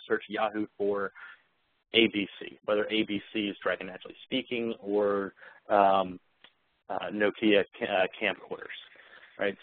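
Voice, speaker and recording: A man giving a live software demonstration, explaining features and speaking voice commands as he goes. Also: deep voice, narrow, tinny audio.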